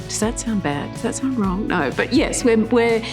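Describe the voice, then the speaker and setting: A woman's voice: on the high side, animated, normal speed, over music. A woman chatting casually in an interview.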